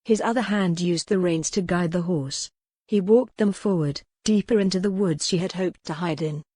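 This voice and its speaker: A woman narrates a historical romance audiobook from a script. Her voice sits a little low for a woman, carrying dramatic prose. The sound is dry, with no room echo. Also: moderate pace, mellow, husky.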